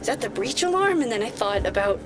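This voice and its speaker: An actress playing a fidgety, irritable young employee, giving a casual, slangy recorded statement in an audio drama. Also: female voice, fairly high pitch, very animated, quick pace, music in the background. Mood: animated.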